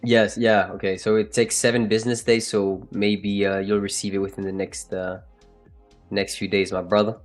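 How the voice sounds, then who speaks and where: A man's voice: on the low side, little pitch movement, normal speed, faint music behind. A man with a French accent hosting a live trading stream, chatting casually and joking with viewers. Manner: joking, laughs often, trails off and restarts. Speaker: livestream trading host.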